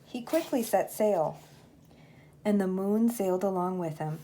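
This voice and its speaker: An older woman, a grandmother, reading a children's storybook aloud in an expressive, measured storytelling manner. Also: mid-range voice, slight background noise, rough recording.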